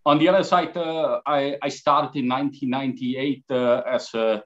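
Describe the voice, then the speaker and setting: A man in his forties from Austria, speaking English with an Austrian accent as a guest on a video call, halting with fillers. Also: mid-range voice, steady tone. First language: German. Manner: gestures while talking, halting with fillers. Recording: slightly dull video call audio.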